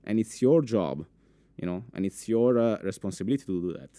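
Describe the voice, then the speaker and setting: Italian-accented man, conference speaker, mellow voice, dry sound, lively with lots of uh and restarts. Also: fairly low pitch, a little fast, clear, resonant tone, dry recording. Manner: animated, many fillers and restarts, asks the audience questions. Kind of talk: live conference talk. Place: conference room with a full audience.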